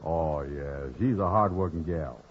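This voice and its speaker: Deep-voiced, slow-witted, lovestruck lug. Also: male voice. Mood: smug, lovestruck. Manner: folksy, ponderous, ungrammatical drawl. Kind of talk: 1950s radio comedy acting. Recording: tinny, hissy old radio recording.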